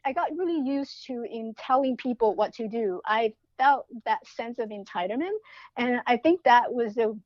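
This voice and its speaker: A woman's voice: fairly high pitch, dry recording, highs cut off. A woman, telling a personal story as a podcast guest in an earnest way, often restarting her phrases. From Taiwan. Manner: earnest, with frequent restarts and fillers.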